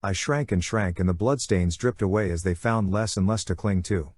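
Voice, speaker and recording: A man's voice: low-pitched, normal speed, darkish tone. A man narrating a dramatic first-person story from a script as an audiobook reader.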